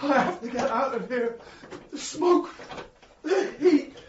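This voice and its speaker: A man's voice: very high-pitched, slow. An actor playing a frightened, deferential young servant man in a radio drama, breathless and pleading. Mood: terrified nightmare. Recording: dull lo-fi audio with noise.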